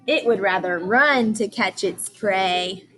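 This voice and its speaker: A woman's voice: mid-range voice, slow, fairly bright tone, slight background noise. A woman teacher reading aloud to young children, explaining and asking questions in simple, emphatic language.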